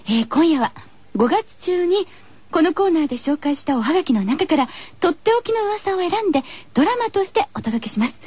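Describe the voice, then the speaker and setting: A woman's voice: very high-pitched, darkish tone, no room sound. A woman hosting a radio show, moving between scripted introductions and friendly chat.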